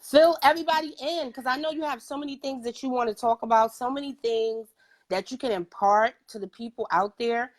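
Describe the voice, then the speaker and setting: Woman, New York accent, podcast host, chatty, informal, fairly high voice. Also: a little fast. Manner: chatty, informal, talks in bursts. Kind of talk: casual interview talk.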